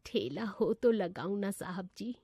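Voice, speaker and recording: A woman acts out a poor older female food vendor in a moral story. She is humble and gentle, speaking plaintively and softly deferential, in a dry recording. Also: medium pitch, lively intonation, a little slow, dry recording.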